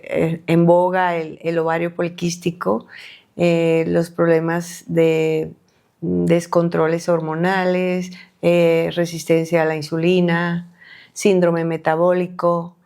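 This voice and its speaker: A middle-aged woman from Colombia, a doctor being interviewed on a podcast, speaking in a halting way with many fillers and restarts. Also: on the low side, gravelly, dark tone. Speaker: guest doctor.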